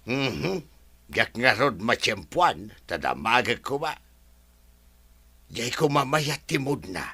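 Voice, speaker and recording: A man doing a jokey loyal old driver, husky and bright. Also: medium pitch, big pitch swings, slow pace, pauses often, exaggerated voice. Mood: worried. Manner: jokes and laughs a lot. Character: older male driver, jokey, loyal servant.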